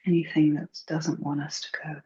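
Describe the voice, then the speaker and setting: A woman leading a guided meditation in a gentle, hushed, soothing and unhurried way. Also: sits low, level tone, husky. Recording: dull, muffled lo-fi recording.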